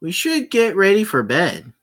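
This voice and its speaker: A man reading the lines of a calm, matter-of-fact young woman in a visual novel, a little higher and louder than his own voice. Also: measured pace, heavily acted.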